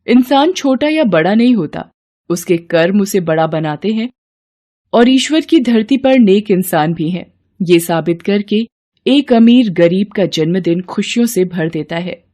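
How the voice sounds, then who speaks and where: A woman's voice: medium pitch, lively intonation, unhurried, warm tone. A woman reading scripted narration for a moral bedtime story.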